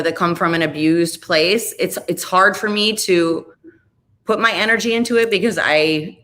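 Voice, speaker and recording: Woman radio host in her forties, candid guest chat, slightly low pitch, bright forceful tone. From Chicago area, US. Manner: candid, rambling, self-deprecating. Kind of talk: casual interview chat.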